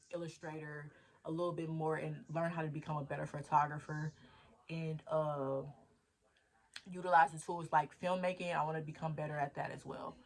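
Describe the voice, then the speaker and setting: A woman in her twenties talking through her plans in a casual, rambling diary vlog, thinking aloud and cheering herself on. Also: sits low. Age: 20s. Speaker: vlogger.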